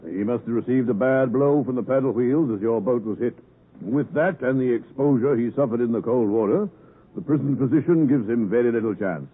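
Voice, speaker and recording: An actor playing a dry, shrewd lawyer in a 1950s radio drama, speaking formally and bluntly. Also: male voice, medium pitch, fairly quick, slightly rough, lightly acted. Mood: blunt, sceptical. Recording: muffled 1950s radio broadcast.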